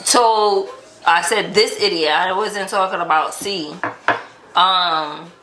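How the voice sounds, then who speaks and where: A woman tells a casual story at an unhurried pace. Her voice is bright and forward, and she acts out the dialogue she quotes. The sound is echoey, as in an untreated room. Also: fairly low pitch. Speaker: vlog host telling relationship stories.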